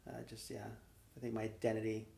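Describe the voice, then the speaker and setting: A man being interviewed, answering with pauses, fillers and laughs, who grew up in Nevada. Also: lowish voice, monotone, creaky, a little mellow, echoey room. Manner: hesitant, laughing, lots of fillers. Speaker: interview guest. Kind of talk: casual conversation.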